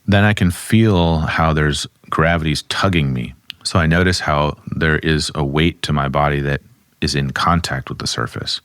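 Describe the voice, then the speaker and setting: Very deep-voiced man guiding body exercises. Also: moderate pace, no room sound, clean studio sound. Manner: calm, instructive, speaks while demonstrating. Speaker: somatic therapist guest. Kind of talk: guided somatic exercise explanation.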